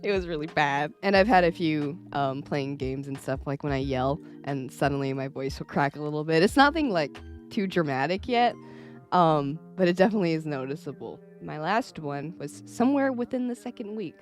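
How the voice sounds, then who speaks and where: A man's voice: on the high side, animated, moderate pace, soft background music. A young man talking casually to camera at home, rambling and candid, swearing often and laughing between thoughts. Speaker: vlogger. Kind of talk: casual vlog chat.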